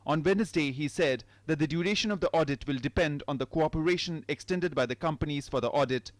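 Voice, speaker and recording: A man with an Indian accent narrates a scripted news report. His pitch is a bit high for a man, and the sound is very dry. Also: fast, no room sound.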